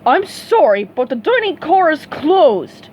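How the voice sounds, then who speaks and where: A woman's voice: high-pitched, sing-song, unhurried, a little mellow, a little hiss or hum, voice pushed up. A woman voicing a snooty, rude male train waiter in a storybook reading, snapping and haughty.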